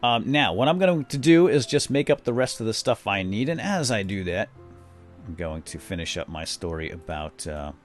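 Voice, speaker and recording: A man's voice: fairly low pitch, moderate pace, soft background music. A man hosting a video game series, chatting casually and retelling a story with lots of fillers. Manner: rambling, many fillers, lively retelling. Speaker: let's play host. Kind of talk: casual gameplay commentary and storytelling.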